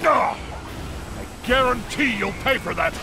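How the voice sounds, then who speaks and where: Angry ape warrior in a game fight, gravelly, all over the place, yelling threats. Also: male voice, extremely high pitch, lively intonation, takes it slowly, over loud music, exaggerated voice. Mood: furious, commanding. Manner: shouting, threatening. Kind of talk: animated video game battle acting.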